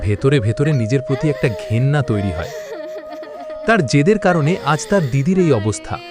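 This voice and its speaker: A man's voice: mid-range voice, lively intonation, moderate pace, over music. A man narrating a scripted horror story with dramatic, suspenseful delivery.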